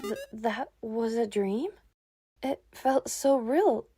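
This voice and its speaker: Woman as a high-voiced, moody young fox, unhurried. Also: mellow, breathy edge, no room sound, clean studio sound, full character voice. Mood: downcast, lost. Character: young female fox creature, moody, lost amnesiac. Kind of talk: animated comic dub acting.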